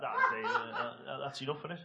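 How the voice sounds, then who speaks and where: A stammering man rants through a stand-up bit in a comedy club. His voice sits high, with clear noise behind it. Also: huge pitch swings, moderate pace, highs cut off. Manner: stammering, rambling, gruff rant. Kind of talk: stand-up routine.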